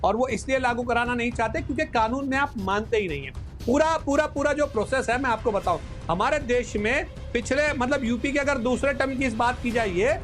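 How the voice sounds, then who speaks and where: A man's voice: extremely high pitch, fairly bright tone. A man on a televised news debate panel, arguing in an insistent, scolding manner. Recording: TV broadcast with music behind.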